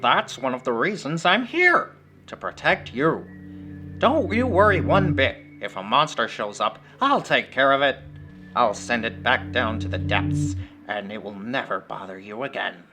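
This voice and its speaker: A man's voice: mid-range voice, sing-song, measured pace, music in the background. A man voicing an overeager living puppet in a horror story, friendly yet faintly sinister, with theatrical, exaggerated delivery. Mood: soothing, protective.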